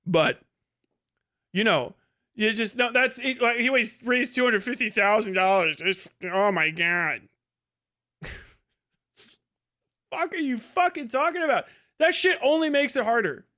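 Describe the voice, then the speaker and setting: Guy streamer doing scornful sweary commentary, pretty high bright voice. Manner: exasperated, scornful, heavy swearing. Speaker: livestream host reacting to a debate. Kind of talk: casual profane commentary.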